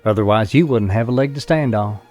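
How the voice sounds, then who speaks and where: A man's voice: on the low side, a little fast, a little mellow, faint music behind, close to an everyday voice. Man playing a shrewd, assured lawyer. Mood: confident, measured. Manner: assured, businesslike. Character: confident, shrewd male lawyer advising his client. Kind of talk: audio drama acting.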